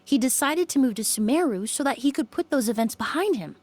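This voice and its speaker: An actress playing a polite, measured young female perfumer in a video game. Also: high voice, animated, a little mellow, no room sound. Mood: polite, serious.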